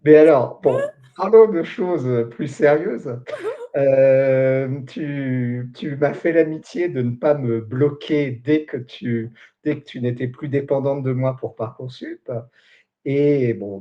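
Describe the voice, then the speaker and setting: A man's voice: medium pitch, warm tone, lo-fi sound. A man in his forties hosting a casual interview, joking and chatty, with plenty of fillers. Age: 40s. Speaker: host.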